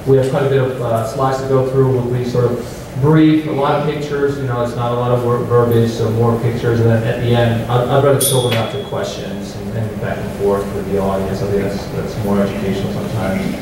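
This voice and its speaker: A man's voice: medium pitch, flat delivery, a little fast, few pauses. A man in his thirties from Albania, a surgeon giving an informal, conversational medical talk and often checking in with listeners. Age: 30s. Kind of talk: informal medical presentation to a live audience. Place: hotel-style meeting room with seated audience. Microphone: handheld mic, picked up from far off by an audience camera. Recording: distant, very echoey room with faint noise.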